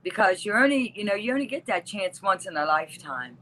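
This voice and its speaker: A female interview guest from West Virginia with a medium-pitched voice. She talks casually and conversationally, as someone telling her own experiences. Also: lively intonation, a little fast, pushed and bright, poor audio. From West Virginia, United States. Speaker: interview guest, wrestling manager.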